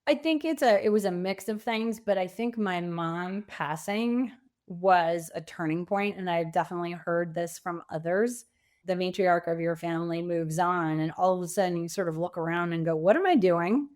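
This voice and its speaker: A woman's voice: lowish voice, normal speed, a little mellow. A middle-aged woman answering as a podcast guest in a conversational, emphatic way, using vivid examples to make her points. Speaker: podcast guest, pivot coach.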